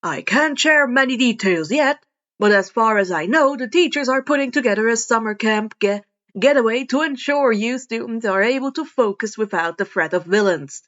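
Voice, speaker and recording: A woman's voice: on the high side, lively intonation, normal speed, fairly bright tone. A woman acts out an upbeat male hero teacher in a story podcast. He is earnest and encouraging, stressing his explanations. The sound is a little lo-fi.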